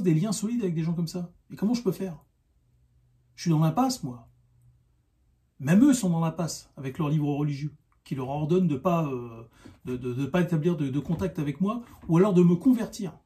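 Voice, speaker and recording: High-pitched, mellow-voiced insistent Frenchman. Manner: insistent, argumentative, repeats himself, halting with rhetorical questions. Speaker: online video commentator. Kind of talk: unscripted opinion monologue to camera.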